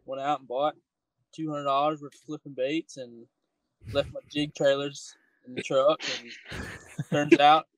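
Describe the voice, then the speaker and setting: A man with a somewhat higher voice talks casually as a podcast guest. He is laid-back and halting, keeping to short phrases. The recording is dry. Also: steady tone, no room sound, poor audio. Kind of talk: casual chat.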